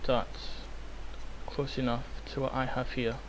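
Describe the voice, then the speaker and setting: A young man, hoarse and croaky from illness, rambling with many ums through a software tutorial. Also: mid-range voice, little pitch movement, unhurried. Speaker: tutorial maker. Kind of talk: screen-recorded software tutorial. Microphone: cheap microphone. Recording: faint hiss, cheap mic.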